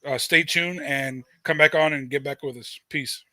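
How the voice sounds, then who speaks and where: Bright-toned man hosting a poker stream. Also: a little high, moderate pace, no echo, clean studio sound. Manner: relaxed, friendly, talks straight to viewers. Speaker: poker stream host and commentator. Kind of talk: live poker commentary.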